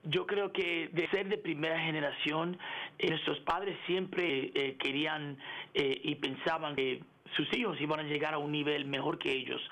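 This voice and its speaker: A middle-aged man in a radio interview, speaking haltingly with many fillers and restarts and slipping into English words. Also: a little high, steady tone, a bit bright, rough recording. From United States. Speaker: interview guest, engineering executive. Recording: radio broadcast.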